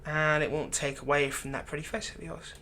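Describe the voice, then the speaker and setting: Soft-spoken doting villain played by a man, noisy background. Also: on the high side, level tone. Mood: tender, flattering. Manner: gentle, coaxing, unhurried, hesitant pauses. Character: soft-spoken doting male villain captor, slyly manipulative. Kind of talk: intimate ASMR roleplay acting.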